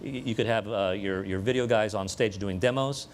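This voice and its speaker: A man's voice: on the low side, moderate pace, slight background noise. A man giving a conversational live technical demonstration to a room, explaining equipment as he presents it. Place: room with an audience at a live event demo.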